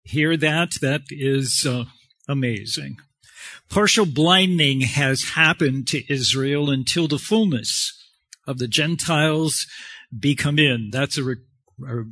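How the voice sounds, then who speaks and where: A man preaching a sermon in a halting, conversational way, pausing often. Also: mid-range voice, pushed and bright, no room sound.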